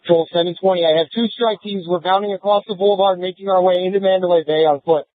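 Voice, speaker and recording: A man's voice: sits high, steady tone, fast, no room sound. A male police officer making urgent, clipped reports from the field over the radio. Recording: tinny dry police radio.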